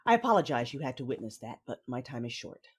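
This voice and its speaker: An actress playing a cold, commanding Sith mother who narrates her own story in a measured, menacing, controlled manner. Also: very deep voice, sing-song, breathy edge, exaggerated voice. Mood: calculating, controlled. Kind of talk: first-person audio drama narration with dialogue. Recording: music under the voice.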